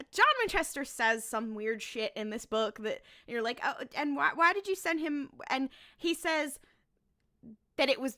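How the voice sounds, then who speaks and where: A woman's voice: a little high, bright, forceful tone. A woman on a podcast panel chatting casually as a fan making a case, emphatic and repeating phrases for effect.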